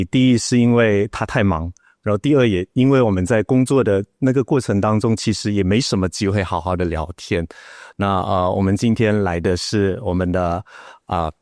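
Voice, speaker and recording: Man, Malaysian accent, podcast host, slightly low pitch, dry close sound, casual interviewing. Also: a little mellow, dry recording. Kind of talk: casual conversational interview, chatty.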